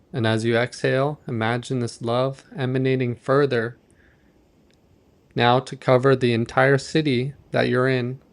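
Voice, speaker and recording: A man leading a guided meditation in a gentle, measured, softly instructive manner. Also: mid-range voice, creaky.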